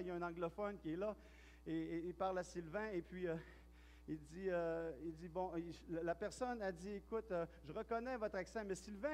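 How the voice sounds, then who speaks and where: A pastor with a Quebec French accent preaching a chatty sermon, telling stories and often restarting and repeating words. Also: male voice, high voice, a little mellow. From Quebec, Canada. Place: church hall. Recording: long hall reverb.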